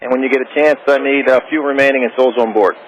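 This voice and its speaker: Male air traffic controller, fast procedural phrasing, clearly noisy radio transmission. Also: mid-range voice, fairly even intonation, dull audio. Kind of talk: procedural aviation radio instructions.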